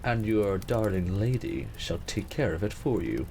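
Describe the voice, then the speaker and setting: Guy playing a regal king, low voice, formal, fond and bossy, noisy background, audio drama. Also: sing-song, a little slow, a little mellow. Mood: commanding. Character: protective, commanding king, teasing but fond cousin. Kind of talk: romantic period audio drama acting.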